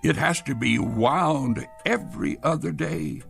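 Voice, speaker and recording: A man's voice: a little high, measured pace, gravelly, a bit bright, soft background music, heavily acted. An actor playing an anxious, stubborn old grandfather in a radio drama, with a fussy, stammering, insistent way of talking. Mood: eager, fussy. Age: older.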